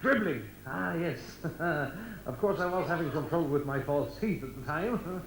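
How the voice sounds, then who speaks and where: A man's voice: fairly high pitch, expressive, moderate pace, crowd noise. A man playing a jolly, boastful old king in a comic stage pantomime, with hammy, chuckling joke delivery. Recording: echoey stage recording.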